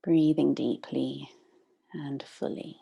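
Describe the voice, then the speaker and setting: A woman meditation teacher leading a guided practice, instructing gently and unhurriedly with long pauses. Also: rumbling low, steady tone, creaky, husky.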